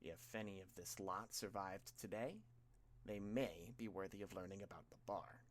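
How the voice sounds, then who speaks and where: A man reading a story aloud as an audiobook narrator, with expressive scripted delivery. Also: low-pitched, moderate pace, a little hiss or hum.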